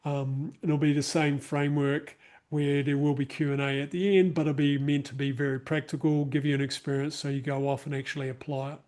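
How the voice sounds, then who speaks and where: A man's voice: on the high side, darkish tone. A man with a New Zealand accent hosting an online workshop, chatting casually with many fillers and restarts over a video call mic. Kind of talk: casual closing remarks to a live online group.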